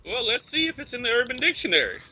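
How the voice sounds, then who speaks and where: High-pitched, animated man debating over tinny audio. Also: quick pace, husky. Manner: slangy, argumentative. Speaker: radio show co-host. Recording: tinny, phone-like internet radio audio.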